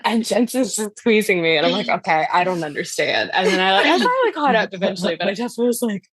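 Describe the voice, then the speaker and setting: A woman's voice: medium pitch, sing-song, quick pace, fairly bright tone, slightly rough, no echo. A woman guest on a podcast, telling personal anecdotes in casual conversation and quoting her own thoughts as she goes.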